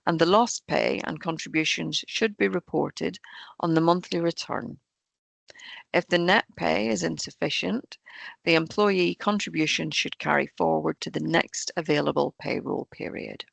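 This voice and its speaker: Croaky woman explaining pension rules. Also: deep voice, moderate pace, dry recording. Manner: steady, explanatory, reads through rules point by point. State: croaky, hoarse voice. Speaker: pension fund trainer. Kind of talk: presenting an online employer webinar. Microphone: video call.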